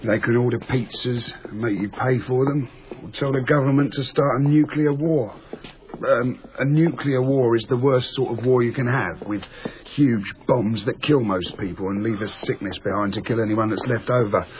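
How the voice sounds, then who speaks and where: A man's voice: mid-range voice, steady tone, moderate pace, natural voice. Actor as a rambling, earnest man, tinny noisy recording. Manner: rambling, naive, matter-of-fact explaining. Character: rambling, earnest, simple modern working man explaining the world. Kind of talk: naturalistic radio drama dialogue. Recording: tinny lo-fi radio broadcast, noisy.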